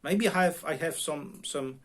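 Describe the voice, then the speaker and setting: A middle-aged man, a self-taught non-native English speaker, talks casually to viewers as a radio hobbyist, often pausing and repeating himself while searching for words. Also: fairly high pitch. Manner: halting, searching for words, repeats word starts. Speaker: amateur radio vlogger. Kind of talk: casual unscripted talk to viewers. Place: home radio room.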